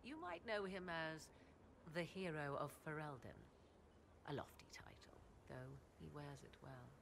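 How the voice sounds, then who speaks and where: An actress playing a proud, sardonic sorceress in a video game, with archaic, formal, haughty diction. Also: female voice, deep voice, animated, measured pace, creaky, background noise, heavily acted. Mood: composed, wistful. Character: proud, sardonic sorceress, devoted mother and lover. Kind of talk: dramatic video game dialogue.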